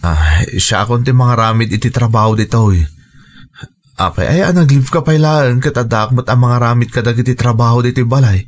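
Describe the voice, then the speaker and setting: A man with an Ilocano accent playing a jobless, dejected husband and father in a radio drama, halting and brooding as he speaks. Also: medium pitch, close to an everyday voice. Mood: sullen. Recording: noticeable background noise and ambience.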